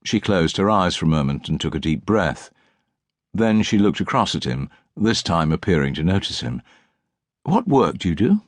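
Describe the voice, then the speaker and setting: A man's voice: low-pitched, lively intonation, moderate pace, no room sound. A man reading a crime novel as an audiobook narrator, in scripted literary prose with precise diction.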